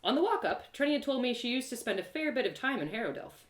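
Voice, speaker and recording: A woman reads a story aloud as its host and narrator, with lively, swooping intonation. Her delivery is theatrical and expressive, and the recording sounds roomy and echoey. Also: on the high side, fast. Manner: animated, theatrical. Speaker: storyteller and host of a live reading series.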